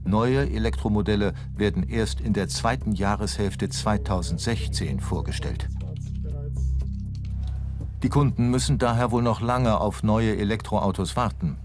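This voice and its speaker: Low male voice-over, investigative and questioning, over music. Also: measured pace. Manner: questioning, probing. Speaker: documentary narrator. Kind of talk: scripted narration.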